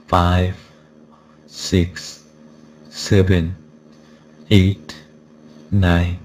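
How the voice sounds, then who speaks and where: Very deep-voiced man, slow, some noise. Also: darkish tone. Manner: deliberate, repetitive, disapproving. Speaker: software reviewer. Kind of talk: casual spoken software review.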